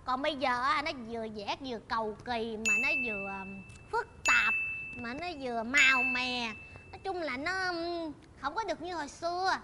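High-voiced young Southern Vietnamese woman. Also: moderate pace, very noisy. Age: 20s. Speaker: talk show guest. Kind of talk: casual playful chat. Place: large indoor studio set of an old shop. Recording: loud music behind the talk.

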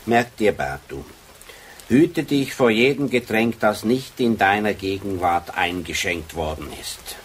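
An actor playing a shrewd, grumbling old police sergeant in a radio drama, gruff and wry. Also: male voice, on the low side, animated, measured pace. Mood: wry, probing. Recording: faintly noisy radio drama recording.

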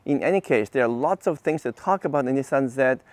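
A man's voice: mid-range voice, expressive, a little fast, dry recording. A man in his fifties from Japan, an engineer reviewing cars to camera in an enthusiastic, explanatory, casual way. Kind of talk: car review to camera, semi-scripted casual talk. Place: outdoors on a quiet leafy residential street. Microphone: clip-on lavalier mic on the chest.

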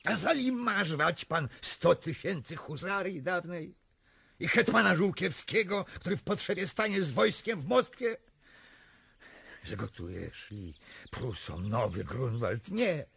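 Slow, tragic doomed Polish king. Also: a little high, very animated, gravelly, fairly bright tone, husky, full character voice. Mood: proud, bitter. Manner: solemn, declamatory, impassioned. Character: tragic doomed Polish king, proud, anguished, self-sacrificing. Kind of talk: radio drama acting.

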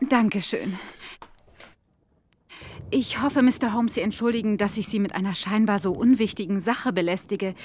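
An actress playing a polite, anxious young governess in a German audio drama, speaking in an earnest, deferential and neatly articulated way. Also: female voice, mid-range voice, normal speed, pauses often, a little mellow, slight background noise, close to an everyday voice. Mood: apologetic.

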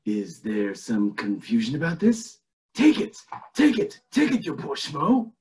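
A man voicing a scheming, self-pitying old tycoon with theatrical, drawn-out delivery in an amateur cartoon table read. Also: mid-range voice, wildly swooping pitch, a little mellow. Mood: exasperated.

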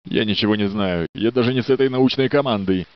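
A man's voice: fairly low pitch, fast, smooth, dry recording, natural voice. An actor playing a polite, dutiful male security guard in a game dub, courteous and a little flirtatious. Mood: friendly. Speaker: security guard in a research facility. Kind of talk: Russian game dub voice lines. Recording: dry, dull studio dub.

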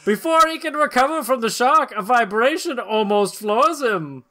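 A man's voice: extremely high pitch, animated, normal speed, pushed and bright. A man in his thirties hosting a podcast, giving an amused, theatrical reading of comic-book narration with casual banter. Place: small room at home, video call.

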